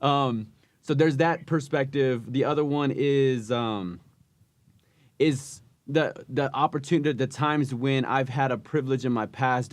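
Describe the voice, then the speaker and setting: A man hosting a podcast, talking in a halting way with many restarts as he searches for words. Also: mid-range voice, no echo. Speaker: podcast host. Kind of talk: casual podcast interview.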